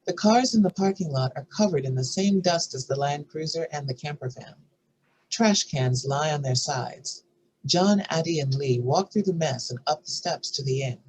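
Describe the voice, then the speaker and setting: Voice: medium pitch, moderate pace, darkish tone, husky, rough recording. A narrator reading scene headings and stage directions from a screenplay in a scripted style. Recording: faint background noise and room ambience.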